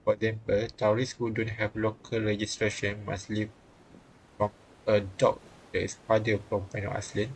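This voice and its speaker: A man's voice: on the low side, slight background noise, rough recording. A man streaming a visual novel, reading the game text aloud and often stumbling and rereading phrases.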